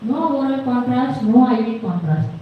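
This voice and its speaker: A woman's voice: a little high, animated, moderate pace, dull audio. South Indian woman lecturing in an echoey room. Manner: questioning, then answering and explaining. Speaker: radiology lecturer. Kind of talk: medical lecture quizzing students. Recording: very echoey room, distant mic, faint noise.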